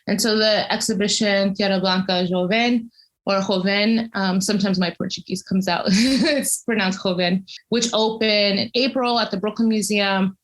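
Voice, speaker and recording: A woman's voice: mid-range voice, fairly even intonation, normal speed, hardly any pauses. Articulate woman explaining her work in an interview. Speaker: interviewed cultural consultant and museum studies academic. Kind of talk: conversational interview answer, explanatory.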